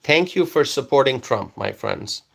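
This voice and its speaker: A man from Bangladesh whose first language is Bengali, hosting a live stream and reading out viewer comments in a slow, repetitive, coaxing way. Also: medium pitch, lively intonation, vocal fry, fairly bright tone.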